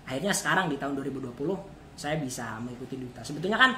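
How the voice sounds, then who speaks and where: An echoey, very noisy recording carries a young man from Banten, Indonesia, whose first language is Sundanese. He speaks at a middling pitch as an interview guest, giving halting introductions and explanations. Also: lively intonation. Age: early 20s. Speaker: interview guest, student contestant. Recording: echoey room, loud background noise.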